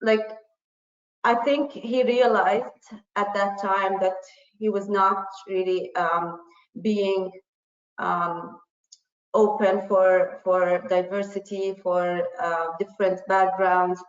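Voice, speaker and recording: A woman's voice: medium pitch, level tone, slow pace. Arabic-speaking woman on an echoey line. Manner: earnest, many fillers and restarts. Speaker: panelist. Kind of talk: panel discussion. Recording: muffled, roomy.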